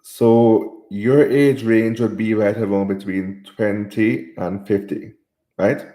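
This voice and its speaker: Guy from jamaica reading chat comments out loud on his stream, low dark voice, echoey room. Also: steady tone, takes it slowly. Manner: reads viewer comments aloud and answers them. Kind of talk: casual chat.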